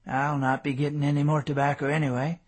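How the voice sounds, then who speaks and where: Steady, commanding colonel, a bit husky, voiced by a man. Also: fairly high pitch, quick pace. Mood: firm. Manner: calm, commanding. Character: stoic, resolute male colonel.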